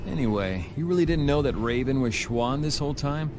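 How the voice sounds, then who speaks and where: A man's voice: medium pitch, lively intonation, brisk, background noise, close to an everyday voice. A man playing a laid-back, sardonic young swordsman in a video game, with a dry, casual delivery. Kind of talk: video game dub acting.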